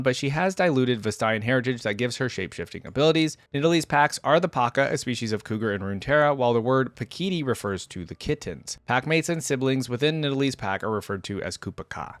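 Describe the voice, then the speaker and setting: A man's voice: mid-range voice, dry recording. Casual man reacting, dry close recording. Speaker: host of a game character reaction video. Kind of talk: casual reaction commentary with reading aloud.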